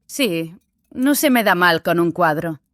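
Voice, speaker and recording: A woman's voice: fairly low pitch, animated, a little slow, fairly bright tone, no room sound, studio quality. A woman playing a proud, earnest young female apprentice in an audiobook, precise and slightly hesitant. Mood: explaining. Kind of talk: dramatized audiobook acting.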